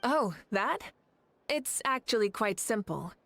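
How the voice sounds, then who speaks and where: A woman voicing a confident, carefree, teasing warrior leader in a video game, self-assured and measured. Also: fairly high pitch, animated, gravelly, sharp tone, exaggerated voice. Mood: assured, explanatory.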